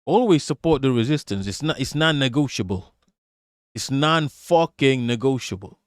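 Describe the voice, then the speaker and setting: A man's voice: mid-range voice, animated, normal speed, clear, resonant tone, no echo. Profane man ranting in a dry room. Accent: put-on American. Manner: emphatic and profane, stutters and repeats words. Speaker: livestream political commentator. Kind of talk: reading aloud mixed with ranting commentary.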